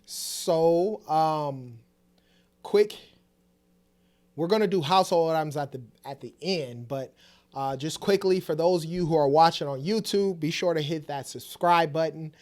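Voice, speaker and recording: A man's voice: on the high side, unhurried, darkish tone. A man in his late thirties co-hosting a family vlog in a casual, conversational style. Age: late 30s. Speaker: co-host of a couple's family vlog.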